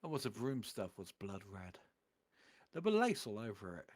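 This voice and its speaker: A man's voice: on the low side, sing-song, normal speed. A man doing a theatrical, comedic reading of amateur fan fiction, putting on voices for the quotes.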